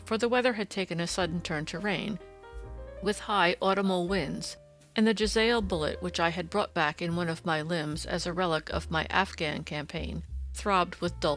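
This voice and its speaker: A woman's voice: on the low side, fairly bright tone. A woman reading a classic story aloud as scripted audiobook narration.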